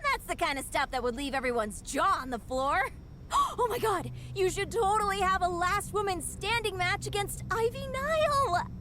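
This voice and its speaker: Very high-pitched bubbly young schemer. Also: female voice, animated, moderate pace, bright, forceful tone, slight background noise, exaggerated voice. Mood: gushing excitement. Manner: gushing, breathlessly enthusiastic. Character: gushing, excitable, bubbly young female schemer. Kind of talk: video game cutscene acting.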